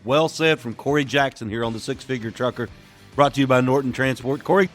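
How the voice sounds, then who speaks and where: A man's voice: medium pitch, a little fast, soft background music. Affable male podcast host. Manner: affable, steady, with filler "uh"s. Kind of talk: interview podcast hosting.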